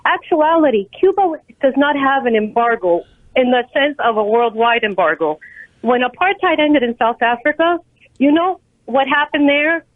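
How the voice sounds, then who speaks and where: A woman's voice: high voice, animated, normal speed, no room sound. An American woman calling a radio show over a phone line, talking earnestly and emphatically about politics. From United States. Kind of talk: earnest political call-in talk. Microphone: telephone.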